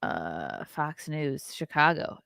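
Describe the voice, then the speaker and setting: A woman hosting a casual commentary podcast, talking in a profane, animated way and mimicking the people she discusses. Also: low voice, slow, creaky, no room sound, studio quality. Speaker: comedian podcast host.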